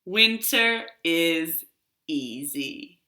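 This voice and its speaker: Woman playing an expressive, slow-talking storybook tree. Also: low-pitched, pitched below the performer's own voice, full character voice. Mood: contented, dreamy. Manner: slow, drawn-out rhyming storybook delivery. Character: talking tree, expressive, cheerful then exasperated by autumn. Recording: roomy, echoey home recording.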